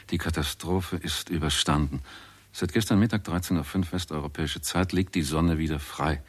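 Radio play announcer: grave news dispatches, bass-deep voice with husky grain. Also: male voice. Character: grave male news reporter giving dated bulletins.

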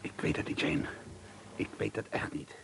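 A man's voice: moderate pace, background noise, close to an everyday voice. An actor playing a nervy, earnest man, suspicious and haunted, in a radio drama. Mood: wary. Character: jittery, indignant male amateur painter, haunted and suspicious.

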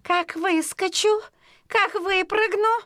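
A woman's voice: very high-pitched, steady tone, slow, a bit bright, no room sound, studio quality, exaggerated voice. A woman voicing a sly, bossy female fox who wheedles and threatens in a singsong way in a children's fairy-tale audio play. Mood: menacing.

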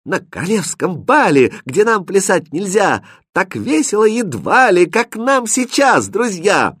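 Young storybook kitchen girl, kind, teasing, lilting higher voice performed by a man. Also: big pitch swings, measured pace, a bit bright, husky, dry recording, clean studio sound, full character voice. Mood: joyful singing.

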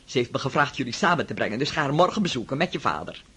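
Radio play: a husky, domineering elderly aunt; high, lively delivery. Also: male voice, rapid-fire, heavily acted. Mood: gossipy. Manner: commanding, teasing, chatty. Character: bossy, brisk elderly Moscow aunt. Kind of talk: radio drama.

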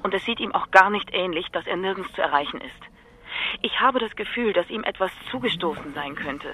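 Sharp, tense, worried wife. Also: female voice, fairly low pitch, lively intonation, fairly quick. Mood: worried, insistent. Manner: insistent, affronted. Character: worried, indignant wife of a missing man. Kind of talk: radio crime drama acting.

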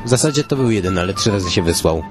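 A man co-hosting a comedy podcast, talking in a mock-serious, bantering and somewhat halting way. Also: deep voice, animated, music in the background. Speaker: comedy podcast co-host and street interviewer.

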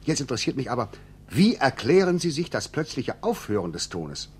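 A man playing a glib, brisk newspaper reporter in a German radio play, polite, persuasive and businesslike. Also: medium pitch, sing-song, breathy edge. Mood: inquisitive.

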